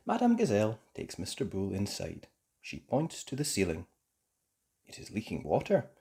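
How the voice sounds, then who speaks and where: A woman narrating a children's storybook aloud, slow and expressive. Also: a bass voice, vocal fry, slightly rough. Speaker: storybook reader.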